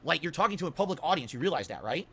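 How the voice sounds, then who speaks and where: Older man, high and tense voice, rattles off indignant questions. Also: sing-song, a bit bright, husky. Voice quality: high-pitched voice. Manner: incredulous, exasperated, rapid-fire rhetorical questions. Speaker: streamer being reacted to. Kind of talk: ranting stream commentary.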